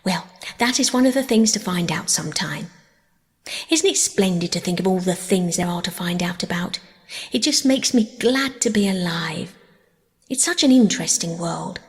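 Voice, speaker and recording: A woman's voice: lowish voice, lively intonation, normal speed. Woman as a gushing young orphan girl, long reverb. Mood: eager, curious. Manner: gushing, talkative, wordy. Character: chatty, dreamy, eager young orphan girl. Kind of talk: audiobook character voice.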